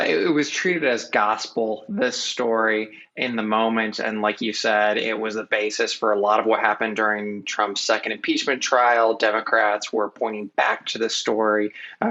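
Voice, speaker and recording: American male correspondent, bright forceful voice, measured earnest commentary, muffled lo-fi recording. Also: mid-range voice. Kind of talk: conversational political commentary. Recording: dull, lo-fi audio.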